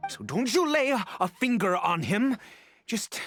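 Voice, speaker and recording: A man's voice: high voice, sing-song, a little slow, fairly bright tone, soft background music, heavily acted. A man voicing a guarded, haughty, secretive man in a video game, with an imperious, curt and theatrical delivery. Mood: protective. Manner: imperious, curt, dramatic, clipped.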